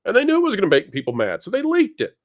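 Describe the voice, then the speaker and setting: A man's voice: sits high, huge pitch swings, very fast, dry recording, clean studio sound. A man speaking as a panel guest, explaining his opinions bluntly and assertively in conversation.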